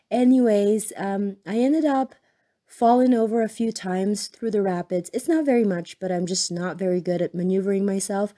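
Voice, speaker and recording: A woman with a cold, hoarse, hosting a crafting channel and chatting casually in a voice-over, rambling with many ums and self-corrections. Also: medium pitch, dark tone.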